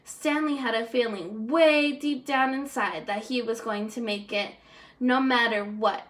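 A young woman reads a children's storybook aloud in a high-pitched voice. She sounds like a teacher with a class of small children, expressive in delivery and now and then stumbling on a word. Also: measured pace. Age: young adult. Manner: expressive, with stumbles over words. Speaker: teacher reading aloud to first graders. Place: indoors, small room at home.

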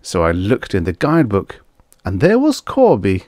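A man's voice: fairly low pitch, wildly swooping pitch, normal speed, darkish tone, no echo, studio quality. A man voicing a blunt, grumbling space trucker with colloquial talk in a science fiction story. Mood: complaining.

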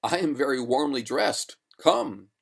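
A narrator playing a firm, coolly courteous gentleman in a storybook, formal and measured, with a quiet gloat. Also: medium pitch, expressive, creaky.

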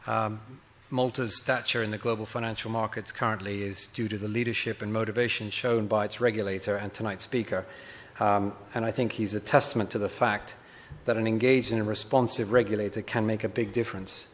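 A man's voice: lowish voice, fairly even intonation. A man hosting a conference event, formally introducing the evening's speaker from a podium. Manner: formal, measured with filler pauses. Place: large hall with chandeliers. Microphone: podium microphone. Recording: reverberant hall with faint hiss.

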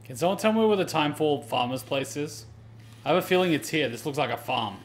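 A man's voice: fairly high pitch, expressive, quick pace. A man giving casual gameplay commentary as a streamer, talking to himself and swearing now and then. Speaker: game streamer.

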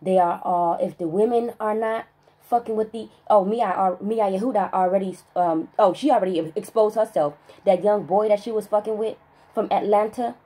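A woman vlogger in a spiritual rant monologue, emphatic and profane, stressing single words with long pauses. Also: medium pitch, dark tone, slightly rough.

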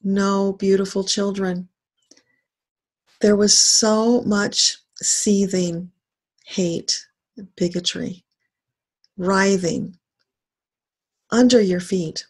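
Slow, creaky-voiced woman guiding meditation. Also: mid-range voice, little pitch movement, darkish tone. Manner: deliberate short phrases with long pauses, solemn. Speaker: spiritual teacher leading a guided meditation. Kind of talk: guided meditation, spiritual message.

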